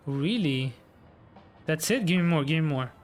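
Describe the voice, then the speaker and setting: A man giving casual, chatty live reactions as an excitable game streamer. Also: fairly high pitch, normal speed, soft background music.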